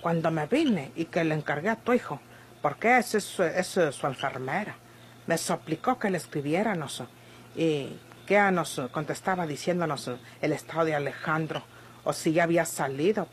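A woman's voice: low voice, moderate pace, lightly acted. Woman acting a feisty rural Mexican ranch woman. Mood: probing. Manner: rustic, sharp-tongued speech. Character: feisty, blunt, vengeful young ranch woman. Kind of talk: Mexican golden-age radionovela acting. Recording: noisy old radio drama recording.

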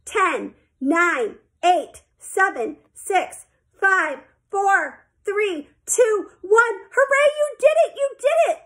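A woman's voice: high-pitched, expressive, normal speed. A woman voicing an encouraging, insistent male swimming teacher in a children's story, coaxing and emphatic.

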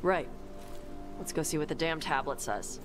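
A woman playing a blunt young horned warrior in a video game, terse and understated, with a gruff manner. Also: sits low, animated, moderate pace, over music. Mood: curt.

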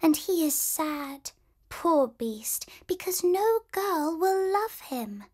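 A woman voicing small, gentle garden birds in a high, light, chirpy voice. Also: sing-song, slow, exaggerated voice. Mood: tender.

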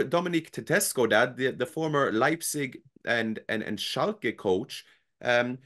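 Young man on a headset, measured pundit. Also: medium pitch, a bit bright. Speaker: football podcast co-host. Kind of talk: casual football chat. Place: home room with football shirts. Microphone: headset on video call.

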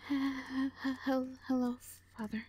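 A woman playing a shy, anxious young owl noblewoman in an audio drama, stammering softly and hesitantly. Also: high voice, little pitch movement, a little slow, breathy edge, muffled recording. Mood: timid, pleading.

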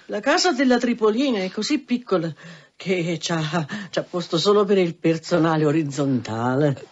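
An actress in a radio drama playing a flustered, squeamish brothel madam who talks in halting, colloquial stammers. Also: female voice, on the low side, lively intonation, darkish tone. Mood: hesitant, embarrassed. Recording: dull, noisy radio drama recording.

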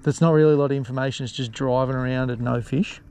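A man's voice: medium pitch, steady tone, fast talker, dark tone, a little hiss or hum. A man fishing from a kayak, chatting casually and muttering at the fish he misses. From Australia. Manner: laid-back, muttering exclamations at fish. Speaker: fishing vlogger. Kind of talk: casual outdoor fishing chat. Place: kayak on open water outdoors.

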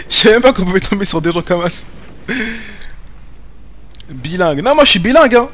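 A man's voice: high voice, lively intonation, normal speed, fairly bright tone. A young man streaming a game from home, chatting with viewers in slangy, joking banter. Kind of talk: casual gaming commentary. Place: home room, webcam.

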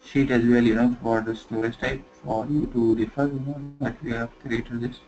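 Man giving a casual software tutorial. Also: medium pitch, little pitch movement, moderate pace. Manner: conversational, many "you know" fillers. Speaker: software trainer. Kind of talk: screen-recorded tutorial. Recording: slightly dull lo-fi screen recording with faint noise.

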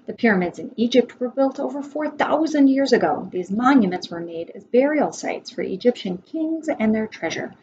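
A woman's voice: fairly high pitch, animated, moderate pace, hardly any pauses, darkish tone. American woman reading aloud, dull lo-fi sound. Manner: instructive, asks listeners questions. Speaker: preschool teacher. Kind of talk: read-aloud of a children's nonfiction book with asides. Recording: dull lo-fi webcam sound, background noise.

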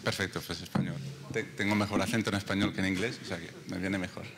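A man's voice: sits low, fairly even intonation, fast, crowd noise. A man in his forties opening a conference talk in a large hall with a casual, stumbling start, speaking into a handheld mic. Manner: casual, stumbling on first words. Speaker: guest speaker. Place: large indoor event hall with audience. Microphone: handheld microphone.

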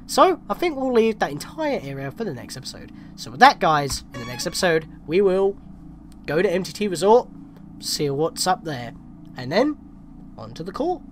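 A woman's voice: fairly low pitch, big pitch swings, moderate pace, a little hiss or hum. A woman hosting a gameplay video with casual, conversational commentary. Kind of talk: casual let's play commentary. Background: game music.

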